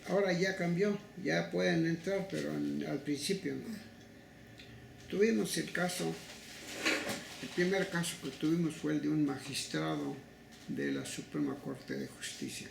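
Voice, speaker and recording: An older man with a Mexican accent, recounting past cases in an interview in a slow, halting, deliberate way. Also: a little high, lively intonation, vocal fry. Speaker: interviewee, human rights legal advocate. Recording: echoey room with faint noise.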